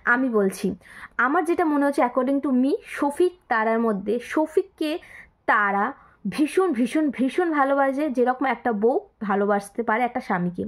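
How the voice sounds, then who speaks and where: High-voiced woman hosting a commentary video. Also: lively intonation, unhurried. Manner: repeats words for emphasis, mixes in English phrases. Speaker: video host commenting on viral clips. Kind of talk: casual commentary addressed to viewers.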